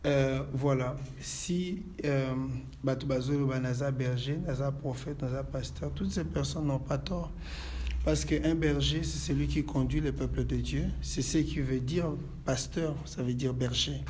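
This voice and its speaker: A Congolese-born pastor with a mellow, warm tone speaks in a measured, preacherly way, whether he is praying or explaining his calling. Faint noise from the church hangs behind him. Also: medium pitch, steady tone. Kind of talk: calm interview answers and prayer. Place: inside a church.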